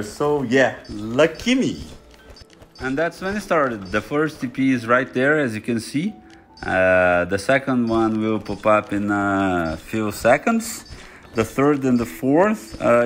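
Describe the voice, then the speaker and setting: Brazilian-accented man narrating a game guide. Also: fairly high pitch. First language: Portuguese. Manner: friendly, chatty, self-joking. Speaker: gaming YouTuber. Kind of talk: screen-recorded game guide voice-over.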